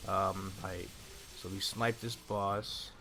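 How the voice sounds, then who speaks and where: A man's voice: low voice, fairly even intonation, takes it slowly, noisy background. A young man giving an easygoing, casual video game walkthrough, thinking aloud into a close desk microphone. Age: young adult. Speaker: gaming video host. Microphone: desk microphone close to the mouth. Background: game music.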